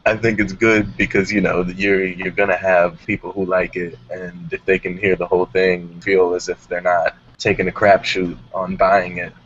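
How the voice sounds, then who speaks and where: A man's voice: sits low, level tone, moderate pace, few pauses, a bit bright. A man hosting a casual panel discussion about music. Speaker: host of a music talk show. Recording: dull audio with background noise.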